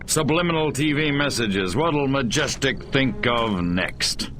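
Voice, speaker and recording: An actor playing a weary, sarcastic government agent in a video game. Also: male voice, medium pitch, lively intonation, unhurried, music in the background, lightly acted. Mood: wry. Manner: sarcastic, clipped.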